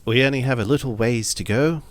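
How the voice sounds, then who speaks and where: A man's voice: mid-range voice, a little fast, dry recording, close to an everyday voice. A man playing a polite, formal samurai retainer in a tabletop game. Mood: decided. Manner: courteous, formal.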